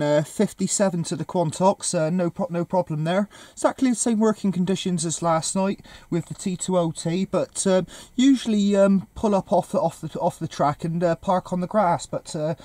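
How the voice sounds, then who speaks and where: Male, soft mellow tone, fairly high voice, casual CB operator chat, radio sound. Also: dry recording. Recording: voice over CB radio.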